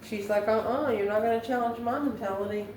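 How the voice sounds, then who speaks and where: A woman giving an improvised spiritual tarot reading to viewers, musing in a halting way and posing questions to herself. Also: fairly high pitch, steady tone, a little mellow. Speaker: tarot card reader, channel host. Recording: echoey room, distant mic, faint noise.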